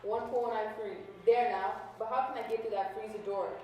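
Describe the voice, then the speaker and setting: A woman's voice: on the high side, fairly even intonation, a little fast, dark tone, natural voice. A woman playing a plucky young superheroine in an amateur radio drama, earnest and bossy. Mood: determined. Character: young superheroine disguised as a car wash worker, plucky. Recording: very echoey room, distant mic.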